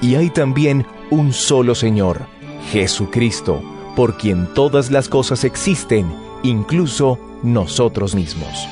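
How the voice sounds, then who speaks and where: An actor playing a solemn apostle teaching believers, reading scripture in a measured, didactic way. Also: male voice, medium pitch, animated. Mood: solemn, instructive. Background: background music.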